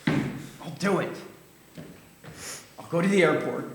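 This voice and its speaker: An actor playing a reluctant, sarcastic young sculptor in a live staged reading. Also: male voice, a little high, lively intonation, normal speed, close to an everyday voice. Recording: echoey stage.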